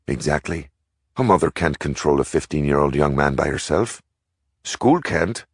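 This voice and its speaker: A man voices an elderly Irish priest grandfather, moralizing in a storybook reading. The brogue is low and gravelly with a husky tone. The sound is close and dry. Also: expressive, moderate pace, dry recording, exaggerated voice. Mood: lecturing. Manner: lecturing, earnest.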